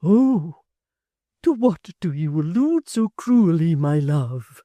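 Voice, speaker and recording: A woman's voice: lowish voice, sing-song, no room sound, pitched above the performer's own voice. A woman doing a timid, tearful spinster. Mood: pleading. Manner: soft, faltering, slow and pleading. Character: timid tearful spinster pleading with her friend. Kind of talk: audiobook character voice.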